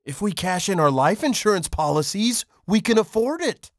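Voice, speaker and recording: A man's voice: high voice, sing-song, normal speed, background noise. A man voicing a reckless, status-hungry husband in a video game, coaxing and pleading with boyish eagerness. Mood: eager, coaxing. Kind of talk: video game character acting.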